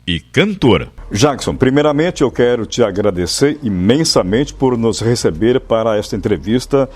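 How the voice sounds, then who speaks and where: Man, radio interviewer, animated pitch, formal introduction. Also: normal speed. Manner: reads the intro formally, then short backchannels.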